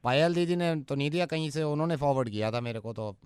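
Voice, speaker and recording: A man's voice: fairly high pitch, animated, fast, darkish tone, clean tone, dry recording, pitched below the performer's own voice, natural voice. A man playing a cheeky, falsely familiar young acquaintance who needles and bosses the person he prank calls on radio. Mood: teasing. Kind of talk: radio prank phone call.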